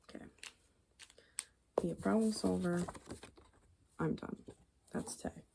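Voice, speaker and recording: A young adult woman hosting ASMR, speaking softly and breathily, often whispering, musing aloud in an informal, murmured way. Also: on the low side, slow pace, creaky, slight background noise. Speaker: ASMR video host. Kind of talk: ASMR, soft-spoken personality explainer while drawing.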